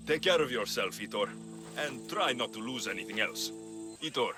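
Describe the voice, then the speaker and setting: An actor playing a tough but kind-hearted young Greek mercenary in a video game. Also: male voice, mid-range voice, animated, moderate pace, over music, close to an everyday voice. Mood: guarded, warm.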